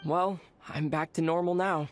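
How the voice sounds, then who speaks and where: A woman playing a calm, steady, reassuring young traveler hero in a video game. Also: low-pitched, moderate pace, over music, close to an everyday voice. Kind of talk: video game character dialogue.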